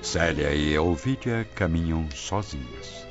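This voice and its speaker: Male narrator, Brazilian accent, radio drama, very low pitch, theatrical, slightly muffled recording, music bed. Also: lively intonation, takes it slowly.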